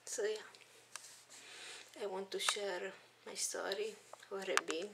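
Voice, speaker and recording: A woman's voice: mid-range voice, fairly even intonation, takes it slowly, vocal fry, very noisy. A teenage girl from Italy with an Italian accent, talking hesitantly with many ums into a webcam in a small room. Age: teens. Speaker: vlogger. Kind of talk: casual intro vlog to webcam. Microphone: webcam at arm's length.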